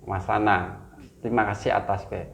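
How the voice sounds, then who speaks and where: A man's voice: fairly low pitch, steady tone, moderate pace, vocal fry, fairly bright tone. A man with a Tegal Javanese accent, a writer reminiscing casually and mixing Indonesian with Tegal Javanese, often restarting. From Tegal, Central Java. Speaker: guest, writer. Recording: roomy, dull, faint noise.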